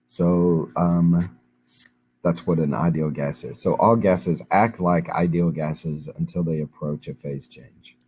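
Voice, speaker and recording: A man teaching a chemistry lesson while hoarse and losing his voice, explaining each step patiently with frequent ums. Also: sits low, steady tone, slow, dark tone, a little hiss or hum. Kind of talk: recorded classroom lecture.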